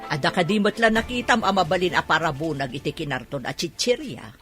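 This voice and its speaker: A woman's voice: deep voice, lively intonation, a little fast, fairly bright tone, soft background music. A woman with a Filipino accent playing a scolding, sceptical factory woman in a radio comedy-drama. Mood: scolding, incredulous. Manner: scolding, incredulous.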